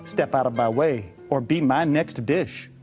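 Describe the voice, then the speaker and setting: A man's voice: mid-range voice, a little slow, a little mellow, faint music behind, natural voice. A man voicing a greedy, smug female cat in a children's storybook, sing-song and dangerously sweet. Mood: smug, boastful.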